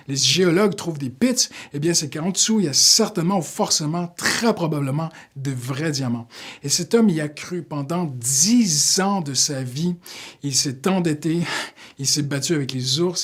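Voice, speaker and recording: Canadian male preacher, fairly high voice, earnest and halting, slows down for emphasis. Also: a bit bright. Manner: earnest, with many fillers, slowing down for emphasis. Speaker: pastor. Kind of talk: short devotional sermon.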